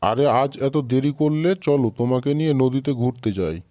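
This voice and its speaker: A man's voice: mid-range voice, moderate pace, no echo, close to an everyday voice. A man voicing a gullible, amiable yet treacherous crocodile in a cartoon moral tale, earnest and simple, with slightly dull sound. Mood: falsely friendly.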